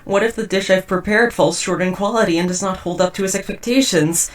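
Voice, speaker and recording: A woman's voice: medium pitch, brisk, distant mic, close to an everyday voice. A woman playing a socially awkward, devoted but murderous robot house spouse in a roleplay audio drama, speaking in stiff, wordy, formal phrases. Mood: worried. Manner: stiff, verbose, overly formal wording. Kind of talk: scripted roleplay audio drama addressed to listener.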